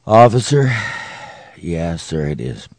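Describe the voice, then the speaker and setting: Deep, stammering, sheepish night watchman played by a man. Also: sing-song, takes it slowly, a little mellow, no echo, exaggerated voice. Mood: nervous, flustered. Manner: stammering, hesitant. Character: flustered, sheepish, slow-witted male night watchman at a bank. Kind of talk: radio play acting.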